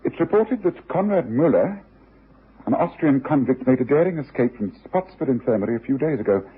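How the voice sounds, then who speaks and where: Husky, restless British detective. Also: male voice, mid-range voice, expressive, a little fast. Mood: musing, intent. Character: bored, brilliant, self-assured male Victorian London detective. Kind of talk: radio drama acting. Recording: thin, narrow-band old radio recording.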